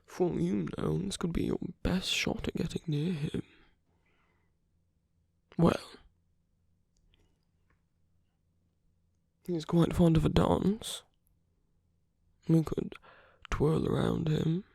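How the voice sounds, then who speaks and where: A suave masquerade villain, acted out by a performer for a romantic ASMR roleplay, speaks in a husky, breathy near-whisper. The delivery is slow and teasing, with lingering pauses and insinuation. It is recorded very close and dry. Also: mid-range voice, fairly even intonation, dark tone, no room sound, heavily acted. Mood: seductive, scheming. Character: suave flirtatious villain at a royal masquerade ball. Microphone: close dry microphone.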